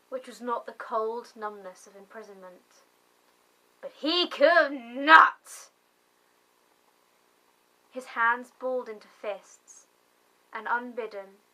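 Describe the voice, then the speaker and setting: Slow, bright-voiced woman reading dramatically. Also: a little high, poor audio. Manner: theatrical, deliberate, with swelling emphasis. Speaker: narrator.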